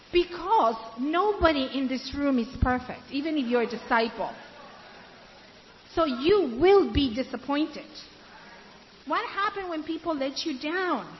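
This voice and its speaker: A woman from Ecuador preaching to a room of women, asking them questions and then answering them, and slowing down to stress key words. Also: high-pitched. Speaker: women's ministry speaker. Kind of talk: sermon. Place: room with an audience. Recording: dull, hissy recording in a reverberant hall.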